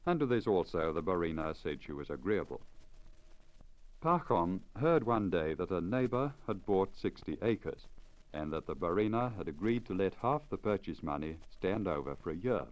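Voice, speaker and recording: A man reading a story aloud in a measured, formal, expressive 1950s radio style, on a crackly old disc recording. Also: sits low. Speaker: story reader.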